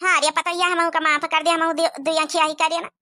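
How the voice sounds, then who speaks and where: A woman's voice: very high voice, level tone, a little fast, bright, forceful tone. A woman voicing a commanding older village mother-in-law with an Awadhi accent in a cartoon comedy. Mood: conciliatory. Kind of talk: rural cartoon comedy dialogue.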